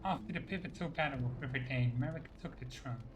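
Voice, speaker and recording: A man's voice: medium pitch, quick pace, background noise. A man reading fantasy game dialogue choices and lore entries aloud as scripted narration. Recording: faint game music behind the voice.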